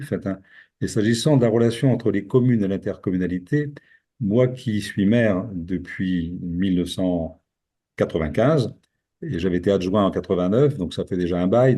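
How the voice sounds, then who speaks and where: An older man with a low, very husky voice and a somewhat mellow tone. He speaks as a mayor setting out his views, explaining earnestly and rephrasing his points for emphasis. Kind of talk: interview answer giving opinions.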